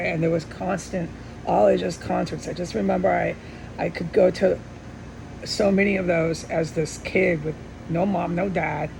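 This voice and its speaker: Gravelly middle-aged woman, noisy recording. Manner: earnest, insistent, repetitive for emphasis, swears. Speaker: vlogger. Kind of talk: rambling personal monologue. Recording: steady background hum and rumble.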